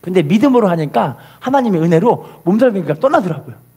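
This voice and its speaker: A male pastor delivers a fervent sermon in a high, husky, strained voice. His intonation swings widely as he exhorts his listeners. He often puts rhetorical questions to them and calls for responses. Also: very animated, fast, dark tone. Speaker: pastor preaching a Sunday sermon. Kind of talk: fervent Korean church sermon with call-and-response.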